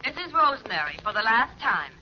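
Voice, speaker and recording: A woman's voice: a little high, sing-song, a little fast, noisy background. Brassy, sassy 1940s radio young woman; arch slangy delivery on a dull vintage recording. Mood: scornful. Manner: wordy, sardonic, mock-formal slang. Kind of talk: 1940s radio drama acting.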